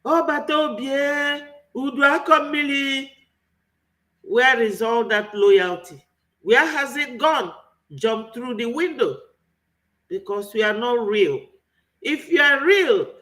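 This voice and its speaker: A man's voice: very high-pitched, expressive, takes it slowly, bright, forceful tone. A man with a Nigerian accent giving an impassioned political commentary, full of rhetorical questions. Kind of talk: online political commentary monologue.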